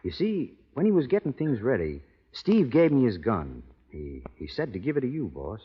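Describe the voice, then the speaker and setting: A man's voice: medium pitch, wildly swooping pitch, moderate pace, husky. A man playing a loyal, plain-spoken cowhand with folksy, hesitant talk in a radio western. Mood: awkward sympathy. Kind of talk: 1940s radio western acting. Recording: muffled vintage radio broadcast, reverberant hall.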